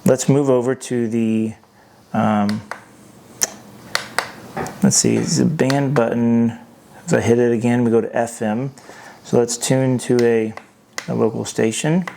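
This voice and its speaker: A man's voice: medium pitch, steady tone, measured pace, vocal fry, long pauses, noisy background. A man giving an unscripted hands-on product review as host, thinking aloud in a hesitant way.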